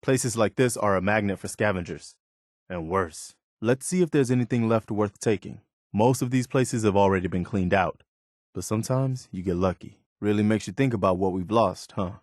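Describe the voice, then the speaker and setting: A man's voice: lowish voice, moderate pace, darkish tone, no echo, clean studio sound, natural voice. A man voicing an earnest, hopeful militiaman companion in a post-apocalyptic video game, speaking in a plain, wistful and wary manner. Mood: wary, watchful.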